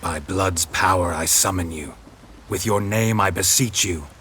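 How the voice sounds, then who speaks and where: A man playing a gruff, weary, stoic monster hunter in a video game, terse and deadpan, with a gravelly, husky voice. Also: sits low, unhurried, slight background noise. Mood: solemn incantation.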